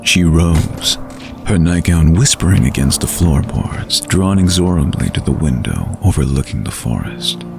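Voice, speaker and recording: A man narrating a scripted fantasy bedtime story in a measured, atmospheric way. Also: very deep voice, animated, vocal fry, background noise. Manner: measured, literary, atmospheric delivery. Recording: light music behind the voice.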